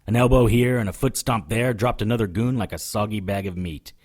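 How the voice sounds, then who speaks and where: An actor playing a tough, wry investigator who narrates his own adventures in a deadpan, hardboiled audio drama style. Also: on the low side, fairly quick, lightly acted. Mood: hardboiled narrating.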